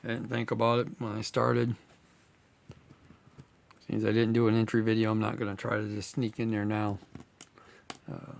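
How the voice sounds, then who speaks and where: Gravelly man, casual model-building chat. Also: medium pitch, a little hiss or hum. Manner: relaxed, rambling, many fillers. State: slightly hoarse, losing voice. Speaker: scale model builder and channel host.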